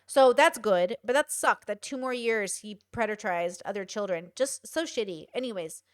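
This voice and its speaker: A young woman hosting a commentary show, talking straight to her viewers in a casual, animated way with some swearing. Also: mid-range voice, normal speed, no echo, studio quality.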